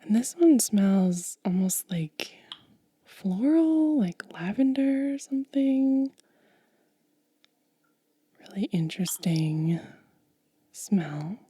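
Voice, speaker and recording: A woman doing soft-spoken ASMR, mostly whispering in a gentle, breathy, casual way as she narrates a hands-on demonstration close to the mic. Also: medium pitch, expressive, no room sound. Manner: gentle, unhurried, casual commentary. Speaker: ASMR video creator and host. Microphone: close mic, dry.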